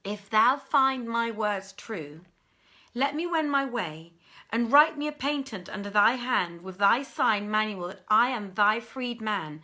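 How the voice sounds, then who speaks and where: A woman's voice: fairly high pitch, lively intonation, unhurried, bright, forceful tone. A woman voicing a frightened male jinn who begs for mercy in formal, archaic storybook language. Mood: anxious pleading. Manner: pleading, urgent, archaic formal speech.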